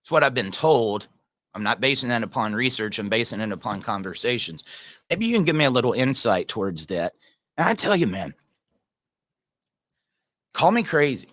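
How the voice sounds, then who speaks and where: A man's voice: medium pitch, sing-song, normal speed, pauses often, fairly bright tone, no echo. A man hosting a casual livestream, reading viewer comments and talking earnestly in a rambling way, addressing viewers by name.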